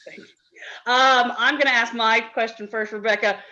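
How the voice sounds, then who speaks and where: A woman's voice: on the high side, steady tone, moderate pace, sharp tone, dull audio. A woman hosting a fan Q and A on a video call, giggly and enthusiastic. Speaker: host. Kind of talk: online fan Q and A.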